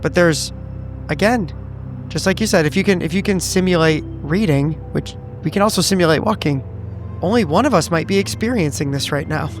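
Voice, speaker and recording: A man's voice: fairly high pitch, brisk, soft background music. A man improvising in character in a tabletop roleplaying game, hesitant and often repeating his words.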